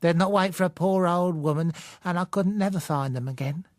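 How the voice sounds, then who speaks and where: Man acting a plaintive old London woman, high-pitched. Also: dry recording, studio quality, close to an everyday voice. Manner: colloquial, ungrammatical, halting. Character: huddled old blind woman, wary, plaintive, uneducated.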